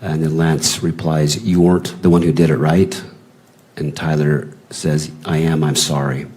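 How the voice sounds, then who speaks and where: A man's voice: a bass voice, little pitch movement, measured pace, darkish tone. A man reading written messages aloud in a plain, unacted way, as in testimony at a hearing.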